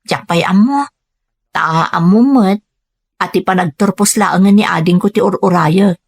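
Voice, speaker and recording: A woman's voice: fairly low pitch, a little slow, husky. A woman with a Filipino accent playing a dutiful, patient young daughter working abroad in a radio drama, speaking in an earnest, conversational way. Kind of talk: Ilocano radio drama acting.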